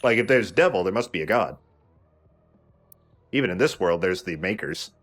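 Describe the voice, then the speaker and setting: Low-voiced man doing casual game commentary. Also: lively intonation, moderate pace, a bit bright. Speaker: let's play host playing the game. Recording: game music behind the voice. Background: video game music.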